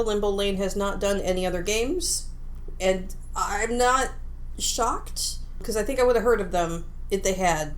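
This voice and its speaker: A woman co-hosting a podcast about video games, chatting casually in an animated, giggly, self-interrupting way. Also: mid-range voice. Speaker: podcast co-host.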